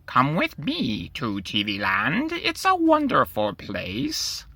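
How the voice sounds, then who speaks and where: A man's voice: fairly high pitch, wildly swooping pitch, slow, creaky, bright, forceful tone, dry recording, exaggerated voice. A man voicing a creepy little creature with a TV for a head, coaxing and theatrical, in a children's storybook.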